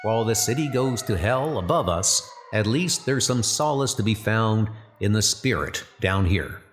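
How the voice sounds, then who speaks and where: An actor voicing an earnest, dutiful young secret service trainee, narrating his letters in a measured, formal way. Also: lowish voice, animated, soft background music, close to an everyday voice. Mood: earnest, sober. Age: young adult. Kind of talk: letter read as narration in a comic dub.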